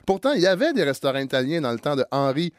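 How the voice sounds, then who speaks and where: High-pitched, animated Quebec male columnist. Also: fast talker, no room sound, studio quality. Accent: Quebec French. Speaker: sports columnist on a comedy show. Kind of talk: scripted satirical sports commentary.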